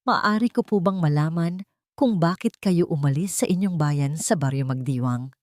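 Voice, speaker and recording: A woman's voice: fairly low pitch, moderate pace, darkish tone, dry recording, clean studio sound, lightly acted. A woman voicing a formal, serious social worker in her forties who questions politely in a story. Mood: probing. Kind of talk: audio drama storytelling.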